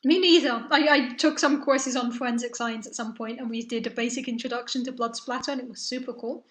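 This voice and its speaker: A woman's voice: a little high, steady tone, brisk, fairly bright tone, reverberant. A young woman from the south of England, raised in Spain, with a mixed British-European accent, chatting casually as the host of a live science stream and answering viewer questions. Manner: chatty, reassuring, friendly explaining.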